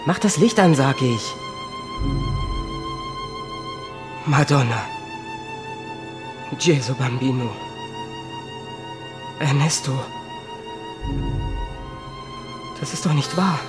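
A man's voice: mid-range voice, expressive, a little slow, pauses often, over music, natural voice. An actor playing a young male military cadet, groggy and irritable, in a German radio drama. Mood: alarmed. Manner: sleepy, irritable, dismissive.

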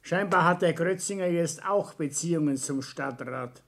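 Gruff bossy bavarian dad in a radio play, kinda high voice, sharp and blustery. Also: male voice, normal speed. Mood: grumbling, scheming. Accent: Bavarian German. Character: Otto, gruff domineering Bavarian family patriarch and builder. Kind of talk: naturalistic radio drama acting.

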